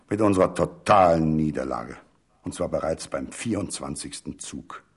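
Deep, husky male literary narrator.

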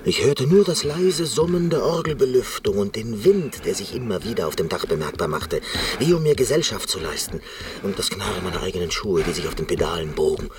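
An actor playing a neurotic, reclusive and obsessive pianist in a radio drama, speaking with brooding, halting intensity. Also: male voice, lowish voice, expressive, noisy background, close to an everyday voice. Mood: rapt reminiscence. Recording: radio play with music underneath.

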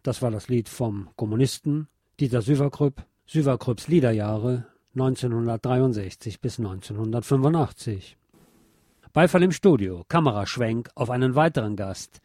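A man presents a radio feature, reading from a script. His voice sits at a medium pitch and is recorded very dry, with little room around it. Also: moderate pace, warm tone, dry recording. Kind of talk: scripted narration.

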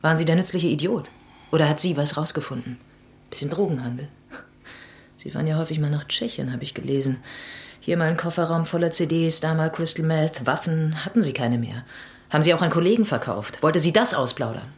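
A woman's voice: deep voice, fairly quick. A woman playing a tough, sardonic police detective in a naturalistic radio drama, talking in a dry, insistent way. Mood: needling, pressing.

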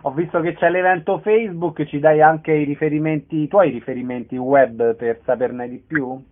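A man's voice: on the high side, steady tone, normal speed. A man hosting a casual radio interview, asking questions and making announcements. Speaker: interviewer, host. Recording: noisy, tinny telephone-like line with clicks.

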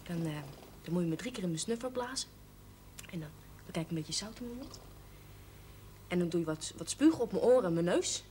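A cheeky, eager young woman, played by an actress in an old TV drama. Her voice is on the low side and her talk is irreverent and colloquial, over a faintly noisy soundtrack. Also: expressive, a little fast, pauses often, mellow, natural voice. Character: cheeky, eager young working-class woman seeking baptism. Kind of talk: 1970s Dutch television drama acting.

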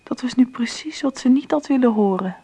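Reflective daughter narrating a radio play, mellow voice, dry close sound. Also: female voice, a little high, brisk, husky, no room sound. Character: reflective daughter narrating her family's story. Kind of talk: scripted radio drama narration.

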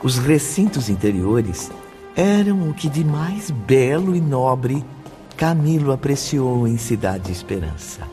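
A man narrating a scripted radio drama in a formal, expressive manner. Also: mid-range voice, slow pace. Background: clear background music.

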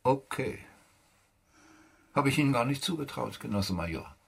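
An actor playing an insolent, cunning young soldier in a German radio play, mock-polite and sardonic. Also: male voice, medium pitch, expressive, normal speed, creaky, fairly bright tone, slightly rough, full character voice. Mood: smug, insolent. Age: young adult. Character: insolent, cunning young soldier, coolly provoking his superior.

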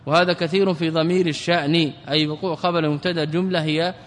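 A man teaching an Arabic grammar lesson as a religious scholar. He questions his students, answers himself, and chants verse lines slowly. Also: fairly high pitch, steady tone, in a large hall.

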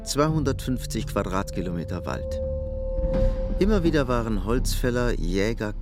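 A man narrating an audio drama as a scripted literary story. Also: on the low side. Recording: music bed behind the voice.

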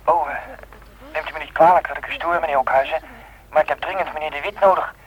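A man's voice: on the high side, fast talker, husky. An actor playing a nervous young errand boy on the telephone in a radio play, hesitant and apologetic. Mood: nervous, urgent. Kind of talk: Dutch radio play acting. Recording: muffled telephone voice in radio drama.

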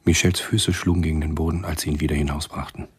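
A man narrating a radio drama from a script in a measured, sombre, husky voice. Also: very deep voice, little pitch movement.

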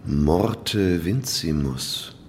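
Stern, imperious minister, radio play. Very low, animated pitch, reverberant hall. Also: male voice, drawn-out words, full character voice. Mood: cold, stern. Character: cold, authoritative government minister. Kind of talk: German radio drama acting. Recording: long hall reverb, audible rumbling noise.

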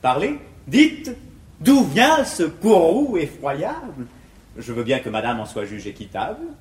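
Earnest young lover in french verse comedy, highish lively voice, very polished, echoey old stage recording. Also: male voice, a little slow. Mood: pleading indignation. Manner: polished, eloquent, declamatory. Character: ardent, courteous young gentleman suitor, earnest and indignant. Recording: echoey 1950s theatre recording.

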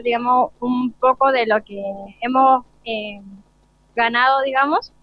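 A teenage young woman from an interview, with an Argentine accent, speaks hesitantly in a slightly high voice. The audio is dry and dull. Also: dry recording. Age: teenage student. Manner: hesitant, searching for words. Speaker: student flag bearer being interviewed. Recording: dull lo-fi radio broadcast link.

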